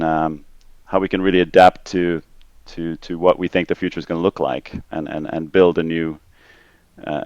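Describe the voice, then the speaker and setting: A man's voice: low voice, clear, resonant tone, no room sound, muffled recording. A middle-aged man from Finland, a podcast guest, talking casually and thoughtfully, often repeating words as he finds his phrasing. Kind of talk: casual interview conversation. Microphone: remote call line.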